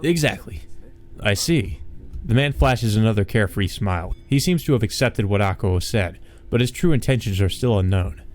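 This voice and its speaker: A man's voice: fairly low pitch, brisk, a little mellow. A man hosting a let's play, reading visual novel narration aloud and commenting casually.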